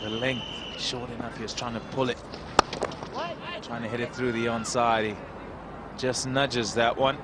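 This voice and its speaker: A male cricket commentator calling a match live, getting loud and excited when a wicket falls. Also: medium pitch, moderate pace, pauses often. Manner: excitable, rising to shouts at wickets. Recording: noisy crowd behind, outdoor broadcast. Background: cheering crowd and ground noise.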